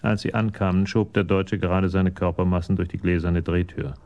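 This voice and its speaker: An actor as the narrator of a crime radio play, reading in a measured, detached manner. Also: male voice, low voice, fairly even intonation, a little mellow, lightly acted.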